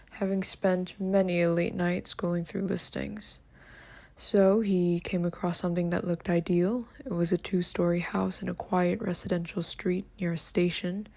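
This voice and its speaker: A woman voicing a male writer of macabre stories, narrating a scripted horror tale in a measured, subdued way. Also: fairly low pitch, creaky, a little mellow, no echo.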